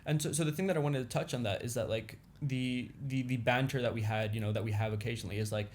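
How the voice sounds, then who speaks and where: A man's voice: mid-range voice, fairly even intonation, slight background noise. A young man whose first language is Indonesian, chatting casually as a podcast guest and often repeating himself. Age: young adult. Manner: repetitive, halting phrasing with frequent "you know" and "like". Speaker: podcast guest, business owner. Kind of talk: casual interview chat. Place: small indoor podcast studio, seated on a couch. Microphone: boom-arm studio mic with pop filter.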